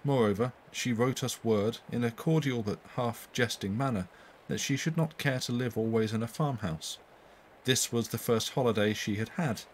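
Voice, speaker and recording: A man's voice: mid-range voice, normal speed. Man narrating a story, faint noise. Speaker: story reader on a livestream.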